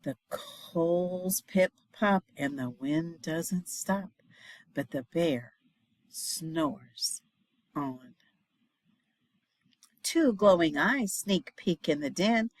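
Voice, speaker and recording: A woman reads a children's storybook at an unhurried pace. Her delivery is expressive and dramatic, sinking into hushed refrains. The sound is dry, with little room to it. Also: lowish voice, no echo. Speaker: storybook reader, teacher. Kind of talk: expressive children's picture book read-aloud.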